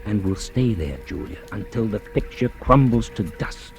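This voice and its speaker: An actor playing a calm, paternal doctor who reassures a patient, in deliberate 1940s radio drama style. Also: male voice, fairly low pitch. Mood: reassuring, firm. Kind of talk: 1940s radio suspense acting. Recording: old 1940s radio broadcast with music.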